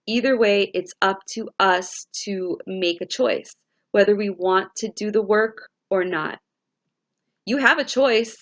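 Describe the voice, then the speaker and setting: Slow-talking woman with a raspy morning voice. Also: medium pitch. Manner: slow, musing, repeats and restarts phrases. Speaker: spiritual vlogger and tarot reader. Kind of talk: casual spiritual talk to viewers.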